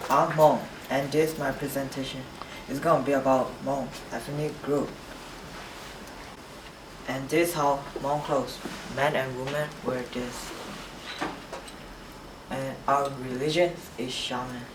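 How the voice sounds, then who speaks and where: A man's voice: mid-range voice, slow, pauses often, natural voice. A young man playing a student who gives a hesitant class presentation in broken phrasing, in an amateur student drama. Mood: plain. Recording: distant mic with steady background noise.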